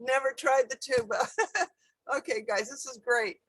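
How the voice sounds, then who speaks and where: A woman musician on a group video call, speaking slowly and giggling often. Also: high-pitched, fairly even intonation, slightly rough, no echo, rough recording. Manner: slow, giggly.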